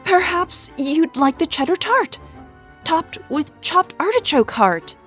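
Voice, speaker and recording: A woman voicing an excitable, fussy woman chef in a children's storybook, with a prim theatrical manner. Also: extremely high pitch, slow, faint music behind, pitched above the performer's own voice, full character voice. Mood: nervous, eager.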